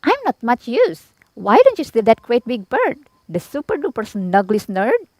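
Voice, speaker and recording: A woman's voice: fairly high pitch, huge pitch swings, moderate pace, a little mellow, dry recording, pitched above the performer's own voice. A woman voicing a cunning female goose in a storybook, persuasive and knowing. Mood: sly, persuasive. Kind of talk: storybook character voice.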